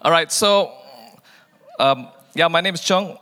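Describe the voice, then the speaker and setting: A man from Malaysia giving a guest sermon in a relaxed, chatty way, often repeating a word as he starts a phrase. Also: a little high, measured pace, clear, resonant tone, big-room sound. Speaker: guest preacher. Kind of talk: informal sermon.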